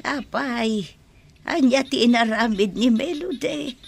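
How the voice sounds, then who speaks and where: A woman's voice: fairly high pitch, slow, creaky, husky, heavily acted. An actress with an Ilocano Filipino accent playing a frank, caring older mother in a radio drama. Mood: concerned, motherly.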